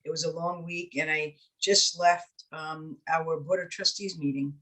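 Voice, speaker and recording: A woman's voice: low-pitched, fairly even intonation, unhurried, rough recording. New woman in her fifties, panel intro. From New York City, Puerto Rican heritage. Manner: warm, personal, with frequent "um". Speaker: university provost, panelist.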